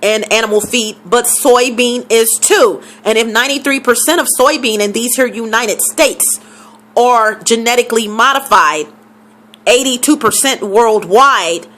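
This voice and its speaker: A woman's voice: a little high, a little slow, a bit bright. Emphatic woman with a scratchy throat. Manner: emphatic, repeats figures for stress. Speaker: vlogger. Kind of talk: casual talk to camera.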